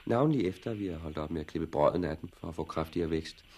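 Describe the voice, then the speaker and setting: An actor playing a brooding, cynical plant expert in a 1960s radio drama, deliberate and matter-of-fact about grim things. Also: male voice, rumbling low, darkish tone, husky, full character voice. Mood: brooding, ominous.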